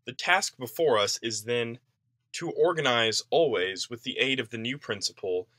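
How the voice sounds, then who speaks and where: Guy reading an essay out loud, formal and declarative, crisp bright voice, super dry sound no room. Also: medium pitch, moderate pace, dry recording. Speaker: reader of an essay. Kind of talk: scripted narration, reading a political essay aloud.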